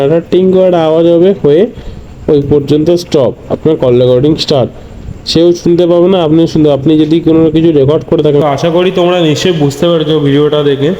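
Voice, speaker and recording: A man's voice: fairly high pitch, mellow. A man hosts a casual phone demonstration, talking directly to his viewers. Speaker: tech tutorial host. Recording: dull lo-fi sound with background noise.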